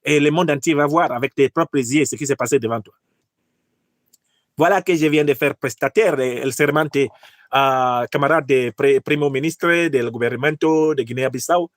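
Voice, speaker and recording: A man's voice: mid-range voice, moderate pace, dry recording, studio quality, natural voice. A man playing an anxious, superstitious ruler desperate to keep power in a satirical skit, stammering and repeating words.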